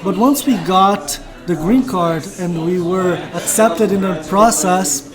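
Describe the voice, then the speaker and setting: A man's voice: high voice, slow pace, crowd noise. A young Brazilian man with a Portuguese accent, a missionary guest speaking earnestly in simple phrasing. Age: young adult. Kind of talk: casual interview. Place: cafe, indoors, busy.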